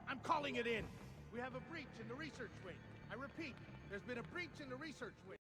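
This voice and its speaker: An urgent security guard. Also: male voice, very high-pitched, animated, fast talker. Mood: urgent alarm. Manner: urgent, radio-report style. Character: alarmed male security guard, adult. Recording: game soundtrack music underneath.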